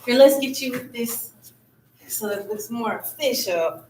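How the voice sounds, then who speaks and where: A woman's voice: fairly high pitch, a little slow, darkish tone. A woman interviewing guests at an awards event in a casual, playful way, laughing often. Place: awards luncheon venue indoors. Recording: echoey room, far from mic, faint noise.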